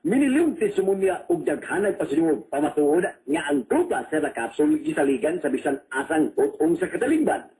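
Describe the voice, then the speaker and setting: Male radio announcer, Cebuano Filipino accent, scripted advertising copy. Formal and emphatic, lively, slightly high register. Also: rough recording.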